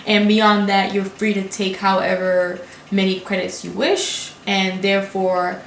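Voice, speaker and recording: A young woman in her twenties from Brooklyn, speaking English as a second language, talking casually to camera in fast, run-on sentences with restarts. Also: medium pitch, little pitch movement, vocal fry. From Brooklyn, New York. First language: not English. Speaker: graduate student vlogger. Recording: echoey room with faint hiss.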